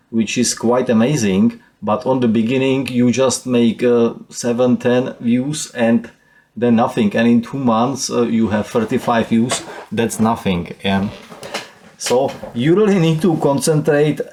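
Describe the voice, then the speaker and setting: Slow, halting Czech-accented man. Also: mid-range voice, mellow. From Czech Republic. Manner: halting, with frequent "uh" and "let me say". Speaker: YouTuber. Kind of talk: screen-share channel walkthrough.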